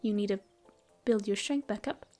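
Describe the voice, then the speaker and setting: A woman voicing a gentle, caring female elf ranger in an audio roleplay, speaking softly and often in a whisper. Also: mid-range voice, normal speed, faint music behind, close to an everyday voice. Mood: soothing. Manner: soft, soothing, often whispered. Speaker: voice actress. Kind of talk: audio roleplay, comfort ASMR.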